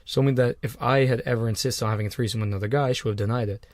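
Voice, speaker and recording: A man co-hosting a casual podcast, his voice at an ordinary middle pitch. He rambles, restarts and swears freely. The sound is close and dry, with no room around it. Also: fairly even intonation, racing, dry recording. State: on cold medication. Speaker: podcast co-host. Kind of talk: casual chat.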